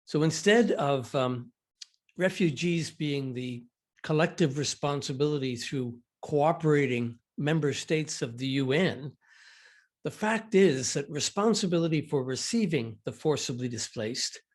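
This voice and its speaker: An older man from Canada, a law professor, introducing himself and presenting on a panel in a measured, deliberate way. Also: a little high.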